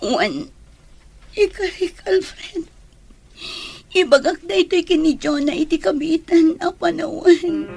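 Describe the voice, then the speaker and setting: A woman's voice: extremely high pitch, takes it slowly, pauses often, darkish tone, full character voice. A woman playing a guilt-stricken, tearful young woman in an emotional, pleading Ilocano audio drama. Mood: anxious resolve.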